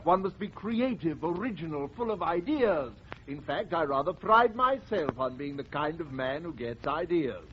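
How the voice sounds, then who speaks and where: A man playing a high-voiced pompous executive. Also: animated, moderate pace, few pauses, natural voice. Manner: pompous, flustered. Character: pompous, excitable male advertising executive. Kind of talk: 1940s radio comedy acting. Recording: muffled, noisy 1940s radio, studio audience.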